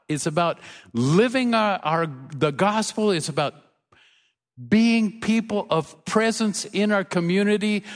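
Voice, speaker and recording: An older man, a pastor, preaching a sermon in a church with earnest emphasis and softer storytelling asides. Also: high voice. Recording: reverberant church hall.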